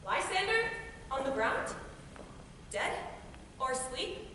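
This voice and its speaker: A wounded, lovelorn young woman, acted by a woman speaks emphatic stage verse in a very high, bright voice. It is recorded from a distance in a reverberant hall. Faint noise sits behind it. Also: big pitch swings, slow pace, heavily acted. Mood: forlorn. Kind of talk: stage play performance. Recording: echoey hall from far off.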